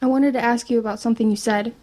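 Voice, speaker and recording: A woman's voice: a little high, steady tone, very fast, warm tone, lightly acted. A woman playing an earnest, persistent and anxious teenage girl in an audio drama, probing and a little hesitant. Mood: pleading, worried. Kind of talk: audio drama acting and first-person narration.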